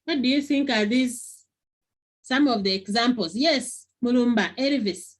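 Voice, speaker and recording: A woman's voice: a little high, a little slow. A woman teacher giving an online class lesson over a video call, repeating key phrases and questioning her pupils often. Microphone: computer on a video call.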